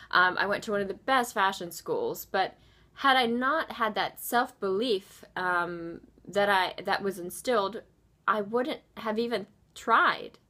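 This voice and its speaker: A young woman with a bright, clear tone speaks with heartfelt conviction. She pauses often on ums as she thinks aloud. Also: medium pitch. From born in Indonesia, raised in Baltimore, USA. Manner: earnest, passionate, many ums. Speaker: nonprofit founder. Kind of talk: unscripted video commentary.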